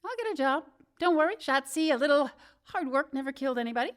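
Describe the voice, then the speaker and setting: A woman's voice: high-pitched, expressive, quick pace. A woman acting a sarcastic, wisecracking career woman who has just been fired, in a comedic radio drama. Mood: brittle flippancy.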